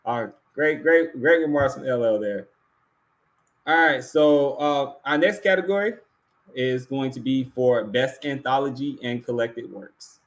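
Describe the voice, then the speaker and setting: A man's voice: medium pitch, unhurried. A man hosting an awards ceremony, announcing categories and finalists and reading out remarks.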